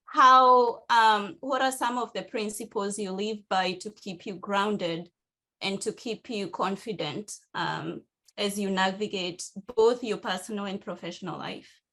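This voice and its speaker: A woman's voice: mid-range voice, bright, forceful tone. Earnest woman with a Kenyan accent. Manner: earnest, measured, many "um"s. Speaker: employee asking a question. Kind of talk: casual panel discussion.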